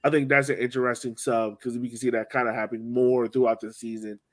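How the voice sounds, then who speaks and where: A man's voice: mid-range voice, flat delivery, quick pace. A man in casual sports podcast chat, rambling along with lots of "like" and "you know". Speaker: soccer podcast host.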